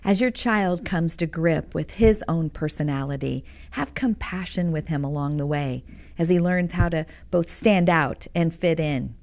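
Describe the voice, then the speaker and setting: A woman author calmly teaching parents in a scripted, earnest and encouraging narration. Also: deep voice, a little slow. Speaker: author and curriculum host.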